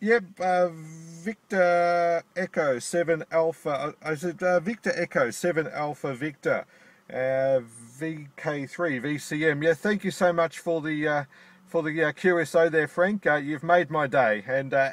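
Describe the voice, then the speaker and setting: A man's voice: a little high, measured pace. An Australian man making an amateur radio contact from his car in a park, talking in radio procedure with call signs and "over", friendly and delighted.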